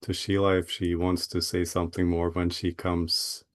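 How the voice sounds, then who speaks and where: Very deep-voiced eager man from Norway. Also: completely flat delivery, normal speed. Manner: eager, repeats words, many restarts. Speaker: guest speaker. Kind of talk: casual talk on a video call. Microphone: computer mic on a video call.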